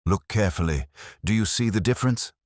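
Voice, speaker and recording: A man voicing a grave, brilliant gentleman detective in a storybook, formal, measured and oratorical. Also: on the low side, animated, no echo, studio quality, pitched above the performer's own voice, lightly acted. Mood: knowing.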